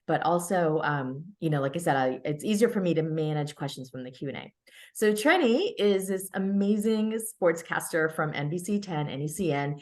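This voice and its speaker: A woman's voice: deep voice, animated, moderate pace, darkish tone. A woman hosting a library talk over a video call, speaking hesitantly with frequent "um"s and restarts. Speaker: library program host.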